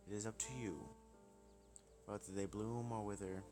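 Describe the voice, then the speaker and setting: A man voicing an old, feeble flower spirit from a visual novel, speaking in slow, theatrical riddles. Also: fairly low pitch, steady tone, close to an everyday voice. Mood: ominous. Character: old feeble talking flower wish, cryptic and ominous. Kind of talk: visual novel character reading. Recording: music playing behind the voice.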